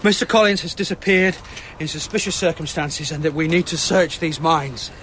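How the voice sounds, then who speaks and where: An actor playing a shaken stubborn inspector. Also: male voice, fairly high pitch, moderate pace, fairly bright tone, slight background noise, lightly acted. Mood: rattled. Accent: British. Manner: tense, clipped and guarded. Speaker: police inspector. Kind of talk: radio drama acting. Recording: music under the dialogue.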